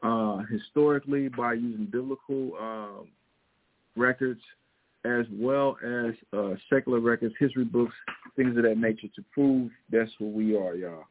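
A man's voice: mid-range voice, moderate pace, a little hiss or hum. A man from the American Midwest hosting a show, reading the news and commenting on it in a casual, emphatic way, often speaking straight to his listeners. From Missouri, American Midwest. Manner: conversational, emphatic, keeps addressing listeners directly. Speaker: show host and priest. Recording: tinny phone-like sound.

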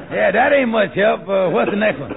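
An actor playing an earnest, kindly best friend in an old American radio comedy, with a gravelly, raspy voice. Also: male voice, high voice, heavy background noise, exaggerated voice. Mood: curious, amused. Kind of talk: 1940s-50s American radio comedy acting. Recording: tinny old radio recording, faint audience.

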